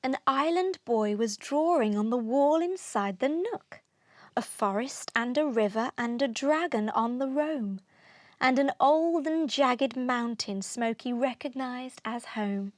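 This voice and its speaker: A woman narrating a children's storybook aloud, expressively, with deliberate rhythmic delivery of rhyming verse. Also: high-pitched, expressive, no room sound, clean studio sound.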